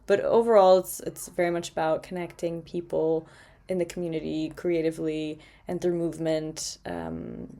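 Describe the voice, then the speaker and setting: A woman's voice: sits low, lively intonation, moderate pace, creaky, mellow. A woman in her late twenties from Portugal, co-hosting a casual podcast chat with many fillers and frequent laughs. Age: 20s. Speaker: co-host.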